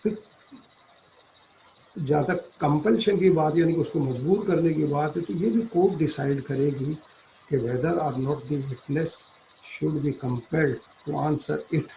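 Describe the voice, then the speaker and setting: Slow, gravelly-voiced man lecturing. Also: on the high side, dark tone, a little hiss or hum, poor audio. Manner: halting, deliberate, switches into English for quotations. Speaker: law lecturer. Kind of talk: explanatory lecture, reading statute then paraphrasing.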